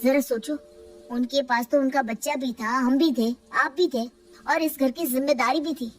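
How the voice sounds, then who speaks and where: A woman's voice: high voice, steady tone, moderate pace, pitched above the performer's own voice. A woman voicing a young husband who reasons patiently but gets exasperated with his mother. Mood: reasoning. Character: dutiful young husband, hesitant and torn.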